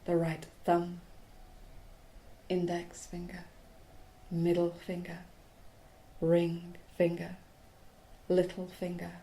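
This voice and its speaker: A woman guiding a yoga nidra relaxation in a soothing, hushed manner, in short phrases with long pauses. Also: on the low side, completely flat delivery, poor audio. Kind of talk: guided relaxation meditation.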